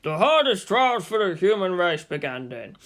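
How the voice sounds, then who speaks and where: A man voicing a formal schoolteacher in an audiobook, lecturing in a measured, declamatory way. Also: mid-range voice, big pitch swings, fairly bright tone. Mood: solemn lecturing.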